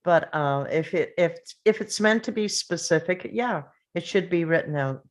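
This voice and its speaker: A woman with a low voice shares opinions in a casual panel discussion. She speaks haltingly, starting and restarting before settling on her point. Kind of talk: casual group discussion of a script.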